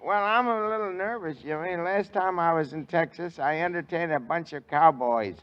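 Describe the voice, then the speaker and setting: A man's voice: fairly high pitch, moderate pace. A man playing the comic sidekick on a 1940s radio show, jokey and self-mocking. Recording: dull old radio broadcast with music.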